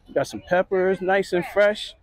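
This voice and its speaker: A middle-aged man gives relaxed, chatty walking commentary at an outdoor flea market, recorded on a handheld phone. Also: high-pitched, normal speed. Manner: relaxed, chatty, pointing things out. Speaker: vlogger. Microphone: handheld phone camera.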